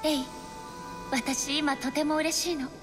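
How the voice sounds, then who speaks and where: A woman voicing a gentle, serene departed goddess in an anime game, speaking softly and gracefully like a loving sister. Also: sits high, fairly even intonation, a little slow. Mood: fond farewell. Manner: soft, tender, graceful. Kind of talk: anime video game acting. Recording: game music behind the voice.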